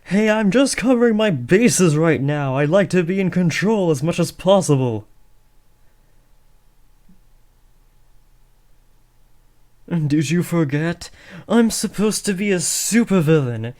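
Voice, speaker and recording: A man's voice: fairly high pitch, expressive, moderate pace, a little mellow, lightly acted. A young man acting an awkward, earnest teenage boy who is trying to be a supervillain, hesitant and often correcting himself, in a comedic audio roleplay. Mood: mock commanding.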